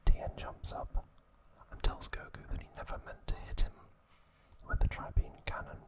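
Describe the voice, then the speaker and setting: A man hosting ASMR, whispering a recap in a hushed, unhurried manner with deliberate pauses. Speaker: ASMR host.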